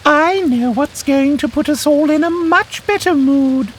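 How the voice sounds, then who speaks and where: A man's voice: extremely high pitch, measured pace, a little hiss or hum, pitched above the performer's own voice. A man voicing an upbeat, eager blue jay in a children's fairytale cartoon. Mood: cheery, eager. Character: upbeat, cheery blue jay party guest.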